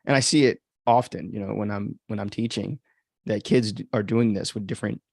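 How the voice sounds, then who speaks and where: A man's voice: lowish voice, steady tone, brisk, creaky, darkish tone, dry recording, studio quality. An American man giving casual, off-the-cuff commentary as a podcast host, chatty and informal with reflective asides.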